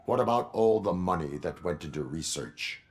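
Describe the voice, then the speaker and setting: An actor playing a cyborg police officer who speaks in a flat, clipped, mechanical way. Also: male voice, low-pitched, normal speed. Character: stiff male cyborg police officer, calm and literal.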